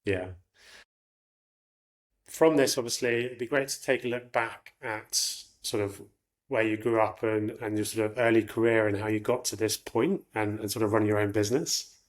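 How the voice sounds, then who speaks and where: A man's voice: fairly low pitch, fairly even intonation, moderate pace. A man hosting an interview, asking questions in a conversational way with frequent filler phrases. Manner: conversational, lots of "sort of".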